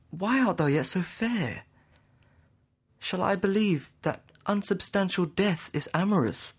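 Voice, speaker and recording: A young man acts out a banished noble lover in a Shakespearean audio play. His British voice sits fairly high for a man. The delivery is poetic, formal and desperately impassioned. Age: young adult. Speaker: student actor. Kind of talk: Shakespearean audio drama acting.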